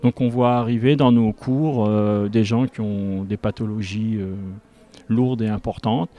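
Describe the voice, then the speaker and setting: A man in his fifties speaking as an instructor in an interview, explaining step by step and haltingly into a handheld microphone. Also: on the low side, steady tone, mellow. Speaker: tai chi instructor. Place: large school gymnasium with a group behind. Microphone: handheld reporter microphone.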